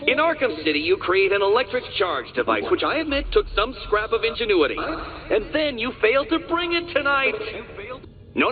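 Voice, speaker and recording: Pompous genius game villain, wildly swooping delivery, condescending, vindictive, thin band-limited sound, loud music behind. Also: male voice, high-pitched, phone-quality sound. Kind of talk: video game villain acting.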